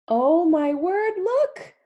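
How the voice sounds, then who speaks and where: A woman leading an interactive storybook read-along for young children, animated and emphatic, using simple repeated phrases and questions. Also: extremely high pitch. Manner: animated, emphatic, often drops to a whisper.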